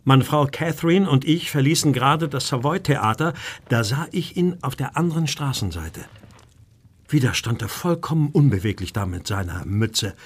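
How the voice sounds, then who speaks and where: An actor playing an anxious, well-bred Victorian art dealer in a radio play, telling his story in a formal, measured way. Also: medium pitch, expressive, slightly rough. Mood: troubled.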